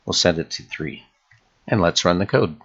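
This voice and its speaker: Male tutorial host, very low register with heavy fry, measured instructional screencast delivery. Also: lively intonation, a bit bright, dull audio. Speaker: game development tutorial host.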